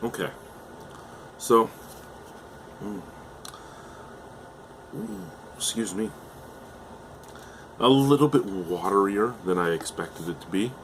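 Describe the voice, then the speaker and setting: A young man from Canada with a low voice that is going hoarse talks his way through a beer review at home. He rambles casually, with plenty of "uh"s and restarted sentences. A faint air-conditioner hum sits underneath. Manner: chatty, hesitant, with frequent fillers and restarts. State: losing his voice.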